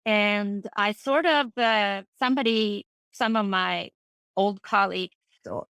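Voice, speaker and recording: Bright-voiced Swedish-speaking woman, middle-aged. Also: medium pitch, slow pace, gravelly, clear, resonant tone, no room sound. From Finland. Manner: relaxed, thoughtful, with many fillers and restarts. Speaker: interview guest, freelance translator. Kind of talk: casual conversational interview.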